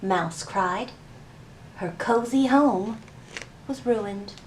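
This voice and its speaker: A woman's voice: medium pitch, very slow. A woman hosting a children's storytime in a sing-song, questioning manner, giving instructions and reading picture books. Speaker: children's library storytime host. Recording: roomy, distant mic, faint background noise.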